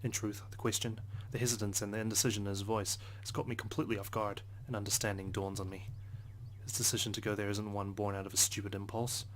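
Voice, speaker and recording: A man narrates a game story aloud in a low, flat voice at a quick pace. He reads earnestly, stumbling now and then, with some background noise. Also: low-quality recording. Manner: earnest, occasional stumbles while reading. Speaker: let's play host reading a visual novel aloud. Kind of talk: narration read aloud in first person.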